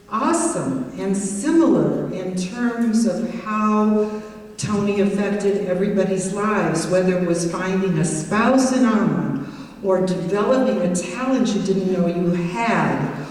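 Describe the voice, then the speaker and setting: A woman's voice: medium pitch, a little mellow. A middle-aged woman, a judge, giving a banquet tribute speech with self-deprecating humor, heard from a distant mic in a hotel ballroom. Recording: echoey hall recorded from afar.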